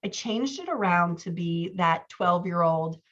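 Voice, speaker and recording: Female writing coach, lowish register, chatty workshop critique with self-deprecating humour, read-aloud excerpts, slightly muffled lo-fi recording. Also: animated, measured pace, a bit bright.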